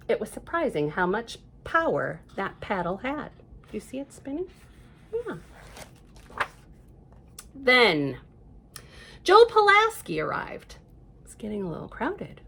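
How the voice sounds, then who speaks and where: Female storyteller for a children's read-aloud, very lively intonation, slightly husky, expressive and conversational. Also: a little high. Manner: expressive, conversational, with spoken asides to young listeners. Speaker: librarian storyteller.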